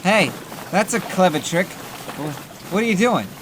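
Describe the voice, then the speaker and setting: Man playing a cheeky, animated bootlegger cat, noisy backdrop. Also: high voice, measured pace. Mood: teasing. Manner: glib, theatrical, sarcastic. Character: cheeky, chatty young male bootlegger cat. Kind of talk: comic dub character acting.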